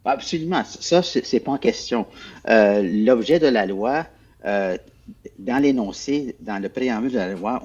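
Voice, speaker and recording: A man, a former official, answering interview questions in a measured, explanatory way, often repeating words as he searches for them. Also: fairly low pitch, darkish tone, breathy edge, muffled recording. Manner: measured, explanatory, hesitant repetitions.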